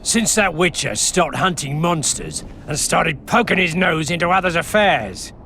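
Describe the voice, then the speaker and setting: Hard-edged, gravelly huntsman. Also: on the high side, animated, measured pace, pushed and bright, slight background noise, exaggerated voice. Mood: cold menace. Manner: curt, commanding. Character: menacing, contemptuous baron's huntsman. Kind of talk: video game cutscene dialogue.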